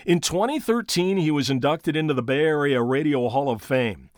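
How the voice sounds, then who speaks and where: A man's voice: on the high side, animated, moderate pace, dry recording. Man hosting a podcast, dry close sound. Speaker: podcast host. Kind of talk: podcast monologue and interviewing.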